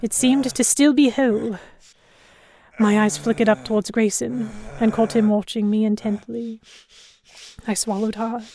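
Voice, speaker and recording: A woman narrating in first person as a composed captive in a historical pirate audio drama, with measured, dramatic storytelling. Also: fairly high pitch, level tone, warm tone. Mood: measured, tense. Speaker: narrator and lead actor.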